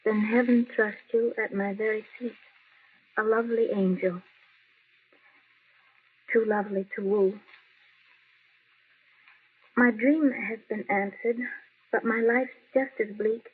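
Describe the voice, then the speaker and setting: Husky newspaperwoman, old radio. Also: on the high side, fairly even intonation, takes it slowly, long pauses. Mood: tender, sorrowful. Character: worldly, tough yet lovelorn big-city newspaperwoman. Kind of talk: 1930s-40s radio drama acting. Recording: muffled, lo-fi old radio recording.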